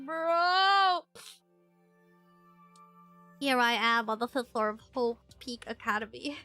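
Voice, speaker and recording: A woman streaming a first playthrough of a video game, her nose stuffy and congested. She reacts excitably, gasping and laughing often. Her voice sits fairly high, with faint music in the background. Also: slow pace. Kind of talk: casual gameplay commentary.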